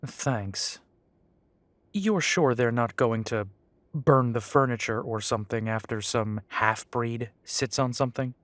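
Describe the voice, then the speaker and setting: A man's voice: medium pitch, unhurried, fairly bright tone, no echo, close to an everyday voice. A man playing a sardonic half-breed werewolf. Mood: wry. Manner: dry, sarcastic, wry.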